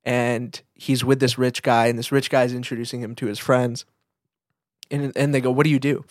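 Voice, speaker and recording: A man co-hosting a casual podcast, thinking aloud in a reflective, halting way. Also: medium pitch, little pitch movement, no echo, studio quality. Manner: reflective, halting, piecing thoughts together. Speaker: podcast co-host, photographer.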